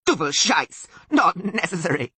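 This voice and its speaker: Indignant high, bleating goat devil. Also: male voice, big pitch swings, unhurried, pushed and bright, dry recording, heavily acted. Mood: defiant. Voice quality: bleating, raspy. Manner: emphatic, scolding. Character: indignant bleating male goat-like sylvan devil, bold and abusive. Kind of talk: audiobook character voice.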